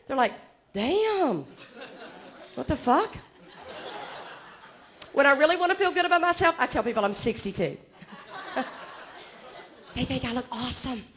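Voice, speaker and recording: A woman's voice: sits high, fairly bright tone. A woman in her forties from Georgia with a Southern accent, doing a self-mocking stand-up set on a comedy club stage and chatting with the crowd. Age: 40s.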